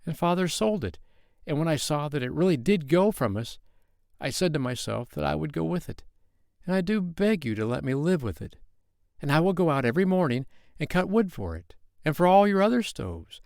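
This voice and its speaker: A man voicing an earnest small boy who pleads in a high, trembling voice. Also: very animated, brisk, gravelly, a little mellow, no echo, clean studio sound. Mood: pleading.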